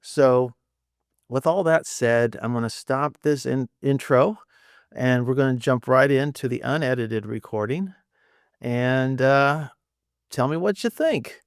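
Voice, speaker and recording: A man in his sixties hosts a laid-back podcast conversation, rambling in a friendly way with many fillers. His voice has a gravelly edge, heard dry and close with no room sound, at a middling pitch. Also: a little slow, dry recording. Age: 60s. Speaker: podcast host. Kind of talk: casual conversational interview.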